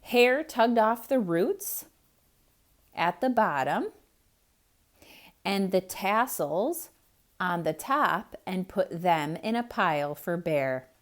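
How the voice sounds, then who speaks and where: A woman reading a picture book aloud to children, pausing to point things out and ask them questions. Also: mid-range voice. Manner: slow, expressive, asks children questions. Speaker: children's storyteller.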